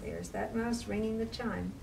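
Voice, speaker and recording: A woman reads aloud to young children in an expressive storytime style. Her delivery is slow and deliberate. She is recorded at some distance from the microphone, with slight noise behind her. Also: mid-range voice, creaky. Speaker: library storytime reader. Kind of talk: read-aloud children's rhyming story with casual asides. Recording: distant mic with faint background noise.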